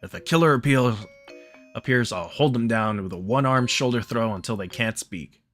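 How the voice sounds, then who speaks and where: A man's voice: mid-range voice, normal speed, fairly bright tone, soft background music. A man hosting a playthrough, reading the game's story aloud and commenting casually on it. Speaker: let's play host reading the game's text aloud.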